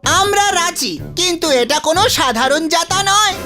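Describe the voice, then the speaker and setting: An extremely high, squeaky little cartoon dwarf. The delivery is eager and sing-song, like a creature striking a bargain in a fairy tale. Music plays clearly behind the voice. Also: female voice, expressive, measured pace. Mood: agreeable, instructive.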